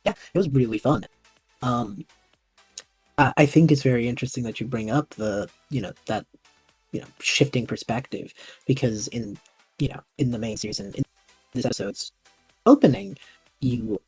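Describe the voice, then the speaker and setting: A man hosting a casual podcast interview, thinking aloud in a halting way and rephrasing his questions as he goes. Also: mid-range voice, expressive, a little mellow, husky, no echo, faint music behind. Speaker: podcast host interviewing a guest. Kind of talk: casual podcast chat about tabletop roleplaying shows.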